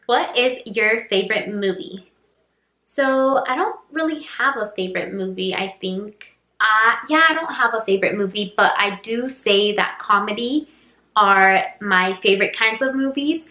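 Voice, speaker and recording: A woman's voice: medium pitch, lively intonation, unhurried, bright, forceful tone. A young woman, a native Spanish speaker, chatting casually through a get-to-know-me Q&A, rambling with lots of "like" and "um". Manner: chatty, rambling, heavy use of "like" and "um". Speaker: YouTuber. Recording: echoey room.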